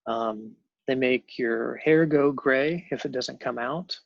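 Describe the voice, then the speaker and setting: Guest, male, 50s; gravelly, halting storyteller, dull dry audio. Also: mid-range voice, no echo. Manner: halting, many ums and restarts. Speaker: guest telling his adoption story. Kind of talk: personal storytelling on a panel show.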